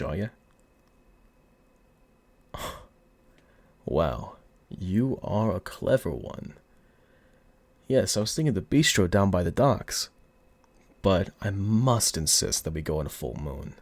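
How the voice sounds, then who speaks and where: A man acting as a smug mafia boss with a low voice and a put-on gangster accent. He teases and taunts with seductive, very animated swings of pitch. The sound is close and dry, in an ASMR roleplay style. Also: no room sound, exaggerated voice. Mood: flirty. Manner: teasing, taunting, drawn-out seductive delivery. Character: cocky, flirty, smug male mafia boss.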